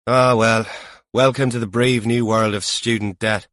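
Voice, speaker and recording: A man's voice: lowish voice, fairly even intonation, measured pace, fairly bright tone, no echo. A man voicing a seasoned, colloquial police officer with a wry, emphatic manner in an audiobook.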